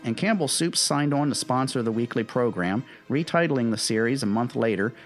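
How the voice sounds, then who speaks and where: A man's voice: medium pitch, lively intonation, normal speed, soft background music. A man presenting a history segment in scripted narration, with the odd informal aside. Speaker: presenter of an old-time radio history segment.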